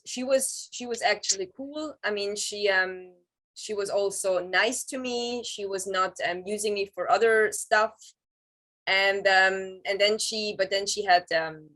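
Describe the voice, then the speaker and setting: A woman in her thirties with a Swiss German accent talks through her story in an interview. She pauses often and fills the gaps with "um" as she searches for English words. Her voice sits at a middle pitch. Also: fairly bright tone. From Switzerland. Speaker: interview guest. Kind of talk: casual interview over video call.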